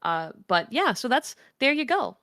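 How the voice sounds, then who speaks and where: A woman with a deep voice presents to a livestream audience. She talks in a chatty, candid way and often pauses with hesitant fillers. Her delivery stays plain and informal. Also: very animated, bright, forceful tone. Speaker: guest presenter.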